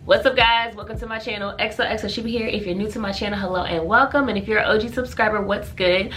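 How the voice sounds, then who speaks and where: A young woman, hoarse from a cold, chatting casually to viewers as she walks through a wig install. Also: mid-range voice, fairly even intonation, fast, a bit bright, soft background music. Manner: casual and chatty, slangy, addresses viewers as y'all. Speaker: beauty vlogger. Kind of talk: casual wig tutorial chat.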